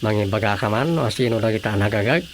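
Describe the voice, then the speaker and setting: An actor playing a talkative provincial man in a radio comedy, with an Ilocano accent and a gravelly, folksy delivery. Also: fairly low pitch, lively intonation, fast, a little hiss or hum. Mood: chatty, curious.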